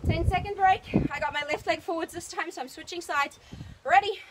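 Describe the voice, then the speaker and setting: High, loud-voiced woman coaching a workout. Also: a little fast, very noisy. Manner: upbeat, counting down and cheering on. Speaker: fitness trainer. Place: outdoors in the sun. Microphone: phone.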